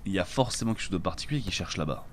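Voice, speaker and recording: A man's voice: deep voice, animated, racing. A man playing a bold, self-assured adventurer in a fantasy audio drama, speaking assertively. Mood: confident. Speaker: voice actor in an amateur audio fiction. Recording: clear background noise and ambience.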